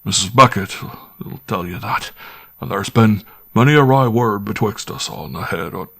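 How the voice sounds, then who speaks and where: A man's voice: on the low side, moderate pace, gravelly, a bit bright, dry recording, studio quality. A man voicing an old, superstitious butler who speaks in a rustic, wary way. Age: older.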